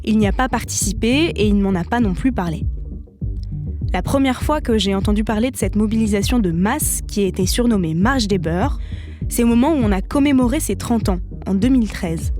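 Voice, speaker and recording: A woman in her twenties from France, hosting a podcast in measured, reflective scripted narration. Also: mid-range voice, animated. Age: 20s. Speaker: podcast host. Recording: narration over clear music.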